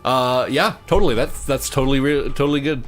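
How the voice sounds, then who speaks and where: A man's voice: medium pitch, fairly quick. Male game master with music behind. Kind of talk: improvised narration of a tabletop game.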